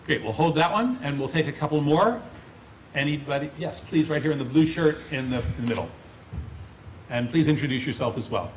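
A man's voice: a little high, lively intonation, fairly quick, slight background noise. A man moderating a panel discussion, politely directing questions to the speakers. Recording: roomy hall audio.

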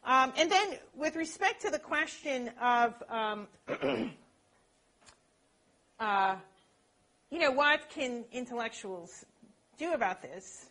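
A woman lecturer giving a talk and answering questions, animated, often checking in with the audience by asking "okay?". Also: high voice, slow, pauses often. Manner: animated, peppers points with "okay?" tags.

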